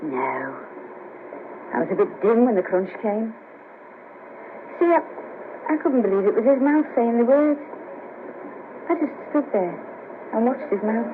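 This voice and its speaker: Brash, high-voiced Liverpool sex worker, muffled tape. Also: female voice, expressive, measured pace, pauses often. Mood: wry, guarded. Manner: blunt, cheeky, matter-of-fact. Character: brash, bawdy, streetwise Liverpool sex worker, wryly guarded. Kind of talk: 1970s radio play acting. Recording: patchy hissy cassette of 1970s radio broadcast.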